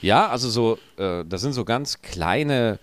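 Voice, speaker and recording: A man with a regional German dialect and a rolled R, telling stories in a relaxed, joking podcast chat. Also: mid-range voice, measured pace. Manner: lively storyteller, joking. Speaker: podcast co-host. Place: studio, feet up on desk.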